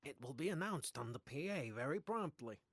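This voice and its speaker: A husky, animated man's voice as a kindly schoolteacher in an audio drama. He sounds easily flustered, hesitant and apologetic. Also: fairly high pitch, brisk, no echo, studio quality. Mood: proud. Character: flustered, kindly adult male middle-school teacher. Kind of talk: audio play acting.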